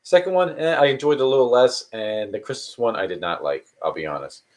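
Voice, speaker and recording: A man's voice: mid-range voice, a little fast. A man in his early thirties chatting casually on a live stream, answering viewer questions in a rambling, hesitant way with many restarts. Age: 30s. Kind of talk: casual chat.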